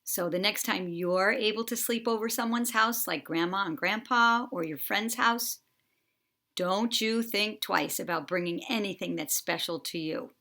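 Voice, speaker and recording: Middle aged woman doing a bedtime read aloud for kids, expressive, medium pitch. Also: a little slow, gravelly. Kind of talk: expressive children's bedtime story reading.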